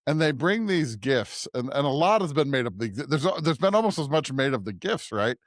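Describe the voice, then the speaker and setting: Pria pembawa siniar, bicaranya tersendat-sendat. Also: male voice, medium pitch, big pitch swings, no echo, studio quality. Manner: halting, searching for words, often asks "right?". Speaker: podcast co-host, preacher. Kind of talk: conversational scripture discussion.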